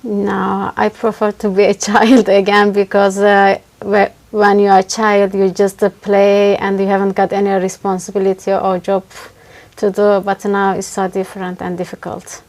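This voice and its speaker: Gravelly-voiced Turkish woman, hesitant. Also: medium pitch, monotone, moderate pace, a little mellow. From Turkey. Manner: hesitant, frequent uh fillers, simple grammar. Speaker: test candidate. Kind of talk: spoken exam answers.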